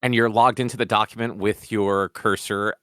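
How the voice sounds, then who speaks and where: A man's voice: on the low side, fairly even intonation, normal speed, a bit bright, clean tone, no room sound, clean studio sound. A man hosting a podcast in casual chat, teasing and opinionated. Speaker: podcast host.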